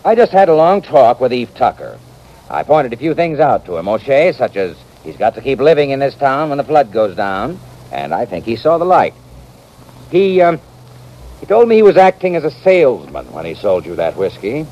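An actor playing a level-headed American frontier town doctor in an old-time radio western, soothing and reasoning with a wry touch. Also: male voice, medium pitch, very animated, moderate pace, warm tone. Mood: shrewd. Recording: dull, faintly hissy vintage radio recording.

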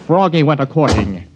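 Flustered American suitor, stammering and animated, vintage radio drama, dull muffled recording. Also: male voice, medium pitch, heavy background noise. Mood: forced recitation. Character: anxious city man, flustered suitor cornered by a mind-reader. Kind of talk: 1940s-50s American radio drama acting.

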